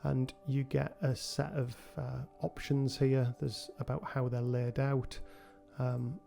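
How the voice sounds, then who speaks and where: A man with a cold hosting a relaxed software tutorial, talking through each step with frequent ums. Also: medium pitch, slow pace, creaky, soft background music. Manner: relaxed, thinking aloud with many ums. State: has a cold. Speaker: game developer tutorial host. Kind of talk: screen-recorded software tutorial.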